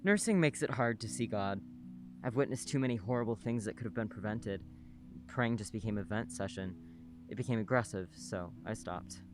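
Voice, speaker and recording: An actor playing a polite, soft-spoken male nurse in a radio play, a middle-aged neighbor who talks matter-of-factly. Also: fairly low pitch, normal speed, music in the background, natural voice. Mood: calm, friendly. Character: gentle older male nurse neighbor, married, childless.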